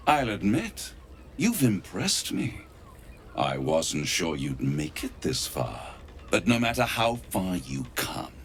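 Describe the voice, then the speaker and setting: A man playing a smug, menacing devil dealmaker in a video game, theatrical and taunting, savouring words with dramatic pauses. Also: fairly low pitch, a bit bright, slight background noise, heavily acted. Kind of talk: video game character acting.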